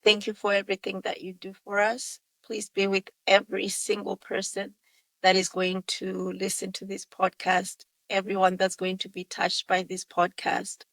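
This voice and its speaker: A woman's voice: medium pitch, completely flat delivery, normal speed, fairly bright tone, dry recording. A woman hosting a podcast, enthusiastic as she welcomes her guest and leads a prayer. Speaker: podcast host.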